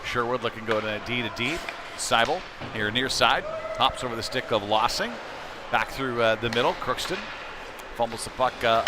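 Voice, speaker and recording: A man doing live, conversational play-by-play commentary of youth hockey, excitable on big plays. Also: mid-range voice. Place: indoor ice rink, live broadcast. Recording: clear background noise of sports arena.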